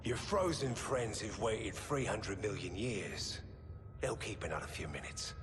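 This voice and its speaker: Sardonic British soldier, low and menacing. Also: male voice, expressive, background noise. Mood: taunting menace. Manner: taunting, drily sardonic, deliberate. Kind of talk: video game cinematic acting.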